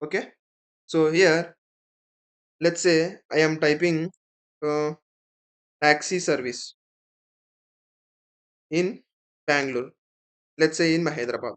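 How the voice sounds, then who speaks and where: A man with an Indian accent and a somewhat high voice works through a screen tutorial. He explains each step as an instructor would. He keeps checking that the listener follows, with small tag words at the ends of his points. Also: steady tone, takes it slowly, pauses often. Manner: explanatory, checks in with "right?" and "okay".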